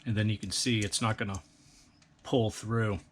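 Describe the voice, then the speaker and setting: A man calmly explaining a hands-on build step by step, in a practical, methodical way. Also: fairly low pitch, moderate pace, background noise. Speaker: instructor. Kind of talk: step-by-step how-to instruction.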